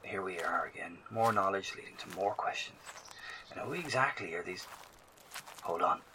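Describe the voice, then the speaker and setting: An actor playing an anxious, scholarly survivor who broadcasts earnest, hesitant field reports in an audio drama. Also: male voice, deep voice, expressive, normal speed. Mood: uneasy, alert. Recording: clearly noisy field transmission.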